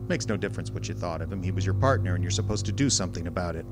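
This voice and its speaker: An actor playing a stern, cynical private detective in an old-time radio drama, terse and deliberate. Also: male voice, low voice, lively intonation, over music. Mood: stern, insistent. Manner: terse, tough-talking, deliberate. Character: hard-boiled male private detective, stern, cynical, wounded but resolute.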